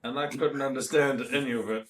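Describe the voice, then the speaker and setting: A man's voice: mid-range voice, steady tone, brisk, slight background noise. A man with a South African English accent, chatting casually as a podcast co-host and explaining words. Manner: explains word differences, laughs easily. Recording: echoey room.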